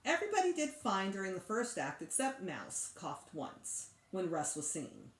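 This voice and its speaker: A woman reads a children's story aloud as a teacher would. Her intonation swings in a lively, expressive way at a middling pitch. The room adds a clear echo around her voice. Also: moderate pace. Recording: roomy echo.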